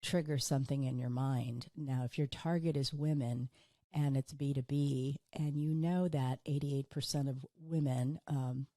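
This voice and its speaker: A woman hosting her own business podcast, talking earnestly on her own, a little hoarse and tired from illness. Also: extremely low pitch, steady tone, unhurried, warm tone, no room sound, studio quality. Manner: earnest, repeats for emphasis. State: hoarse, recovering from illness, fatigued. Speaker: podcast host. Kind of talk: solo business podcast monologue.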